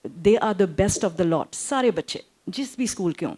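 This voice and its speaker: A woman's voice: fairly low pitch, animated, very fast, darkish tone, slightly rough. A woman, an academic making an impassioned, emphatic case on a panel and repeating phrases for weight. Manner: emphatic, repeats phrases, mixes English and Urdu.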